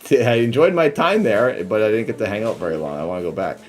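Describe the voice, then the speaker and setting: A man's voice: on the low side, lively intonation, fast talker, background noise. A man hosting a podcast, who jokes and rambles in a casual chat. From United States. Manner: joking, rambling. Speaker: podcast host.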